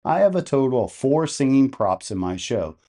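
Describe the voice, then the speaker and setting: A man's voice: medium pitch, sing-song, normal speed, dark tone. A man hosting an instructional how-to video, explaining step by step and posing questions to the listener. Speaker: host of a how-to video on holiday light displays. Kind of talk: instructional tutorial narration.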